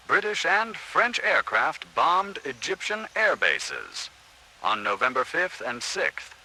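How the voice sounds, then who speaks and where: Animated, bright-voiced man, radio news commentator. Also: medium pitch, a little hiss or hum, low-quality recording.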